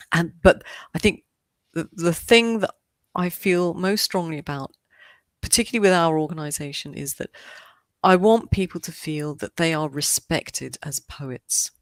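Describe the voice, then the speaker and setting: A woman's voice: sits low, dry recording, clean studio sound. A middle-aged woman, a native English speaker living in Switzerland, chatting as a podcast guest in an eager, rambling way with many restarts. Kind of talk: casual interview chat.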